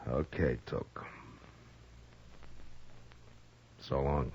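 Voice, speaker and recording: An actor playing a stern, weary U.S. Marshal with a terse, commanding manner in a 1950s radio western. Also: male voice, very deep voice, steady tone, slow, a little mellow, slight background noise, full character voice. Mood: grim, weary. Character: stern, weary male U.S. Marshal of the Old West. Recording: muffled old 1950s radio recording.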